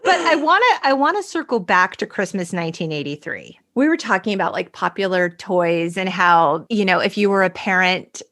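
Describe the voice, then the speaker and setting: A woman's voice: on the low side, expressive, normal speed, few pauses, fairly bright tone. A middle-aged woman co-hosting a podcast, chatting casually and recounting researched facts like a storyteller. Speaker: podcast co-host. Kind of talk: casual chat.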